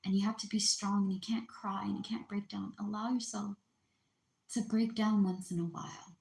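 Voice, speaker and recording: Woman doing a live reading, soft and whispery, mellow tone, kinda echoey room. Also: medium pitch, little pitch movement, gravelly. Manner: soft, often whispery, unhurried. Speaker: spiritual reader on a livestream. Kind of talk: gentle comforting livestream reading.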